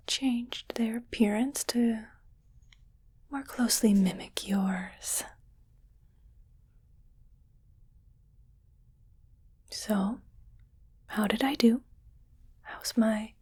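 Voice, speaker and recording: Wealthy alien menagerie owner, played by a woman: hushed, very breathy ASMR delivery, unhurried, condescending, sweetly possessive. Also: on the high side, exaggerated voice. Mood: condescending, curious. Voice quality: breathy whisper. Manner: slow, condescending, coaxing. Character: smug, wealthy female alien collector of human pets. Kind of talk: ASMR roleplay, whispered monologue.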